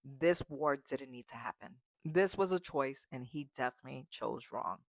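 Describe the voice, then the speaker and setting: A woman's voice: rumbling low, normal speed, dry recording. A woman ranting in a travel vlog, emphatic and exasperated, swearing freely. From United States. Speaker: vlogger.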